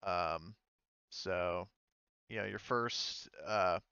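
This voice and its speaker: A man narrating a tabletop game casually as game master, halting and informal in his delivery. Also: low voice, vocal fry, sharp tone, clean tone, no room sound, lo-fi sound. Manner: halting, informal, mild swearing.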